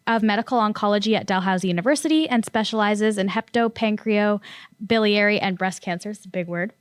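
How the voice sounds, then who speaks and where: A woman's voice: medium pitch, no room sound. A woman hosting an event, reading a speaker's introduction with a few fillers. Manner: reads the bio with fillers. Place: conference room.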